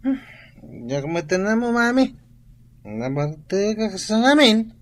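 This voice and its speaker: A woman's voice: mid-range voice, sing-song, slow. A woman playing a flippant, carefree young student son in a radio drama, with a northern Philippine accent. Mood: evasive.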